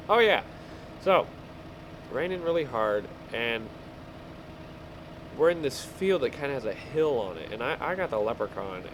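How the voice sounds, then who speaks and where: A man casually telling rambling stories while he plays a game, halting and easily distracted. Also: mid-range voice. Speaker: gamer telling stories over gameplay. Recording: clear background vehicle engine noise. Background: game vehicle engine sounds.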